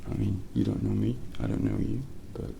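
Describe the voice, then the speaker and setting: ASMR taxi driver role: male, low and gravelly yet hushed, reassuring slow delivery over clear rainy car ambience. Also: level tone, background noise. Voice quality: soft, breathy, often near-whispered. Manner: gentle, unhurried, reassuring. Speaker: ASMR role-play performer as late-night taxi driver. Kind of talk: soft-spoken ASMR role play. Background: rain and car sounds.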